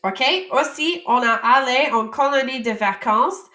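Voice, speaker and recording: A woman from the United States teaching French vocabulary, saying each word slowly and then explaining it in casual English. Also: sits high, bright, forceful tone, roomy sound. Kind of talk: vocabulary lesson.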